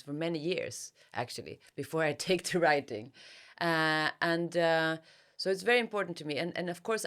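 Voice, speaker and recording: A woman giving reflective interview answers as an author, searching for her words and repeating phrases. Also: a bass voice. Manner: halting, searching for words with repetitions.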